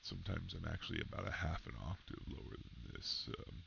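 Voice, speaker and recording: A man with a very low voice talking casually and halting a bit as he explains, recorded indoors on a headset microphone. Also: expressive, vocal fry, fairly bright tone, highs cut off, a little hiss or hum. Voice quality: very low, deep voice. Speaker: person testing a microphone. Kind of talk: casual spoken mic test. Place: indoors at a computer.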